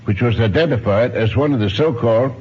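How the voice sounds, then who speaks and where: A man's voice: mid-range voice, a little fast, breathy edge. A man in his nineties giving formal, precise answers as an expert guest in a radio interview, with old-fashioned phrasing. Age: 90s. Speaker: interview guest, archaeologist and ethnologist. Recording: muffled, noisy 1950s radio broadcast recording.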